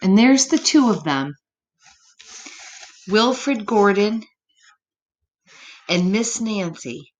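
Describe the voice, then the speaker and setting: A woman reading a story aloud to young children, gentle and expressive, pausing to chat and ask them questions. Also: mid-range voice, poor audio. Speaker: children's story reader, teacher. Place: room at home.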